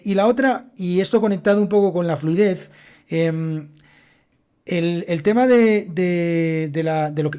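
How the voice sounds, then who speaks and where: Mellow-voiced man with a Castilian accent. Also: high-pitched. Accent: Castilian Spanish. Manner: direct, explanatory, speaks straight to learners. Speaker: podcast host and Spanish teacher. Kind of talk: solo educational podcast monologue.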